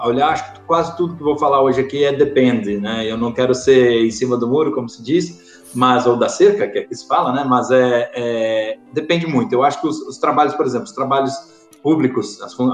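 A man with a Brazilian accent, a podcast guest talking casually, often pausing with fillers and restarting his sentences. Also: medium pitch, reverberant. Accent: Brazilian Portuguese. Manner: hesitant, many fillers and restarts. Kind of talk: casual interview chat.

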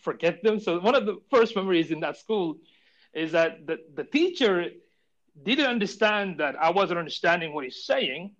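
A man's voice: sits high, expressive, normal speed, a bit bright, lo-fi sound. A man raised in Saudi Arabia, a podcast guest telling his life story in a chatty way and laughing often. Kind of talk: casual interview storytelling.

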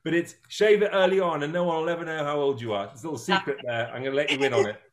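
A man's voice: fairly high pitch, very fast. 50 yr old guy hosting, rambly, keeps restarting, pokes fun at himself. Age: 50s. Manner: rambling, self-deprecating, many restarts. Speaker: interviewer. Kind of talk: casual interview chat.

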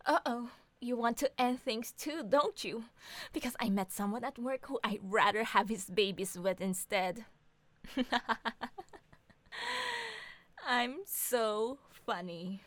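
A woman's voice: fairly high pitch, measured pace, dry recording, lightly acted. A woman playing a sarcastic young mother who needles her partner with dry mockery in an audio drama. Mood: mock dramatic. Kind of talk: two-hander audio drama reading.

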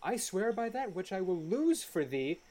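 A woman's voice: mid-range voice, big pitch swings, normal speed, dark tone. A woman playing an impetuous, scornful, ardent young male lover in a Shakespeare radio play, with emphatic theatrical delivery. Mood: ardent, pleading.

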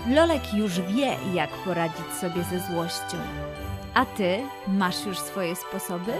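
A woman reading a scripted children's story as narrator, with careful, expressive storytelling. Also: lowish voice, takes it slowly. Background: background music.

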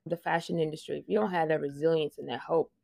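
A woman with a Louisiana Southern accent talks in a lowish voice, reflective and earnest, often laughing and starting her phrases over. The recording is close and dry, with no room sound. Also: steady tone, fast, vocal fry, dark tone, no room sound. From Louisiana, USA. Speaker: interview guest, fashion project manager. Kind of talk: casual conversational interview.

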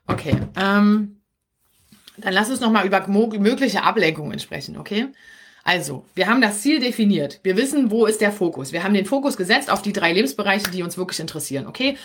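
Husky-voiced woman coach, Berlin accent. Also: fairly low pitch. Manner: chatty and direct, tags points with "okay?". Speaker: business coach. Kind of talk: live-streamed coaching talk to viewers.

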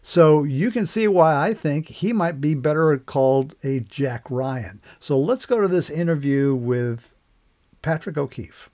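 An older man speaking as a podcast host, introducing an interview in an informal, somewhat halting conversational way. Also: a little high, expressive, a little mellow.

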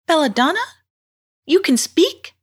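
Woman putting on a high, earnest little girl. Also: very animated, measured pace. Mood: stunned. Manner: earnest, pleading. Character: sweet, anxious young girl in a storybook.

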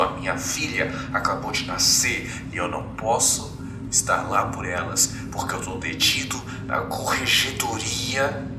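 A man's voice: mid-range voice, recorded from a distance, close to an everyday voice. An indignant Brazilian policeman, acted out by a man at a game table, profane and demanding. He sounds like a wronged officer, heard a little off the mic in a roomy space. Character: angry exemplary policeman, new father, feeling betrayed, cursing.

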